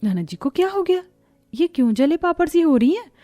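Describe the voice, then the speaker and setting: A woman's voice: high-pitched, big pitch swings, brisk, dark tone, faint music behind, voice pushed up. A woman voicing a spirited new young bride in a cartoon, direct and questioning.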